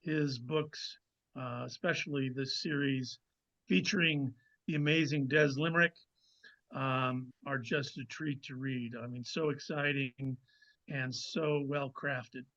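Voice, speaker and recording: A man's voice: medium pitch, steady tone, takes it slowly, a bit bright. A man speaking as an author guest in a casual, conversational interview over a video call. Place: remote video call from a mountain cabin. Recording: slightly muffled video call audio.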